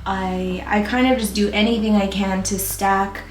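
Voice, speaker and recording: A tired young woman with a voice going hoarse talks casually through her plant setup, rambling and filling gaps with likes and ums. Her mid-pitched voice rings a little in a roomy space. She sounds worn out. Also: steady tone, moderate pace, a little hiss or hum. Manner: chatty, rambling with fillers. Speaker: plant YouTuber. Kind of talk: casual vlog talk. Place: warm home plant room. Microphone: camera mic with ring light.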